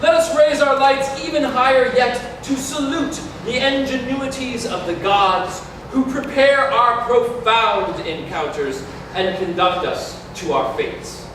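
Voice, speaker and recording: A man's voice: very high-pitched, slow pace, few pauses. A man playing an exalted officiant of a mystical wedding, reciting solemn ceremonial verse in a declamatory way. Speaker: actor in a staged reading. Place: large community hall, staged reading. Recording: echoey hall from far off, noisy.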